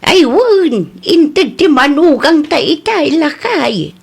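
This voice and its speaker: A woman voicing a warm-hearted elderly mother and wife in a radio comedy drama, speaking gently and without hurry. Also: high voice, very animated, slow pace, vocal fry, exaggerated voice.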